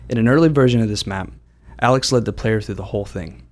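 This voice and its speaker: A man's voice: lowish voice, fast. A man who works as a game developer, delivering prepared commentary on design decisions. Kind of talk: scripted developer commentary narration.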